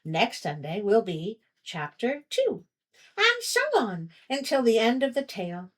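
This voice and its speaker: A woman's voice: a little high, sing-song, unhurried. A woman storyteller reading a tale aloud in a theatrical, tongue-in-cheek way, as a show host would. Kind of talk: storybook reading with comic asides.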